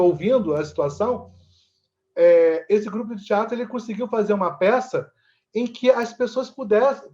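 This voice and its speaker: A man with a Brazilian Portuguese accent explaining things conversationally as an interview guest, haltingly, often checking in with short tag questions. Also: sits high, a little mellow, dull audio. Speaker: actor and theatre teacher, interview guest.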